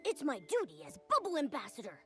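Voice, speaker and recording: A bossy, cheeky little talking pet companion from a video game. The voice sits high and swings up and down with lively energy, pushing along impatiently. A faint musical score plays underneath. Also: female voice, fast, soft background music, heavily acted. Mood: proud.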